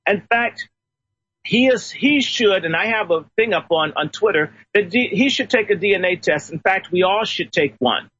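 A man's voice: sits high, expressive, normal speed, a bit bright, husky, dull audio. A man phoning in as a guest on a talk radio show, telling personal stories emphatically.

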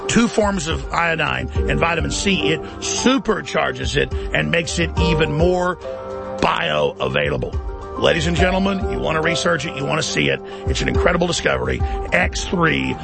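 Gravelly man hard-selling over music. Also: sing-song, normal speed. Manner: intense, dramatic sales pitch. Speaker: ad announcer. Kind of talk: hard-sell product ad. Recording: ad read over loud music.

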